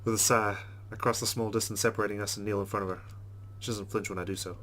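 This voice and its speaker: A man's voice: on the low side, little pitch movement, fast, fairly bright tone. A man hosting a let's play, reading visual novel narration aloud expressively and dropping into casual asides.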